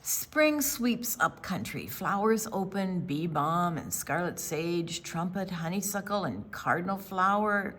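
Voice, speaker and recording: An older woman reading a picture book to children as a storytime presenter, with deliberate storyteller phrasing and dramatic pauses. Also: on the low side, lively intonation, fairly bright tone, a little hiss or hum.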